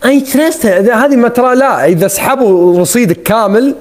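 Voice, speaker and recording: A man's voice: very high-pitched, fairly quick. A man hosting a casual live stream on his phone, teasing and joking with his guests. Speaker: livestream host.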